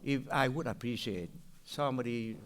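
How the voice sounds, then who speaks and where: A man's voice: medium pitch, a little hiss or hum. Older vietnamese-accented guy asking questions at a public meeting, lots of stops and restarts. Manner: halting, many restarts and fillers. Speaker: public commenter.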